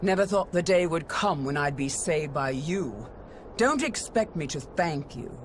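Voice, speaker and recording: A woman playing a proud, sardonic swordswoman in a video game, with a cool, mocking and deliberate delivery. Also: deep voice, animated, slight background noise. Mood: cool, taunting. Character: haughty, sardonic female swordswoman, proud and wary. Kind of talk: dramatic video game character acting.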